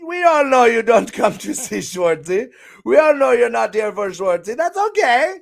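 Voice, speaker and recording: Man, stream host, high-pitched, gravelly, hammy, playful. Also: sing-song, moderate pace. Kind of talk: casual live-stream chat.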